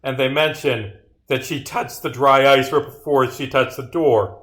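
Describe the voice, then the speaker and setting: Male game developer on stream, mid-pitched, casual, reads script lines aloud with muttered asides. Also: a little slow, fairly bright tone. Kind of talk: casual livestream playthrough, reading game dialogue aloud.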